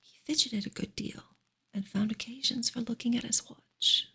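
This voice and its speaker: Breathy whispering woman, soft ASMR reader. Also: fairly high pitch. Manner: soft, unhurried, hushed. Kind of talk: whispered ASMR book reading.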